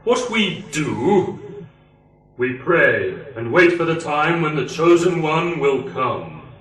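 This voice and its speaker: A man playing a calm, secretive cult leader in an amateur sci-fi radio play, speaking in a solemn, measured way. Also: fairly high pitch, roomy sound, distant mic, exaggerated voice, poor audio. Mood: reverent. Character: calm, secretive male cult leader guarding sacred chamber.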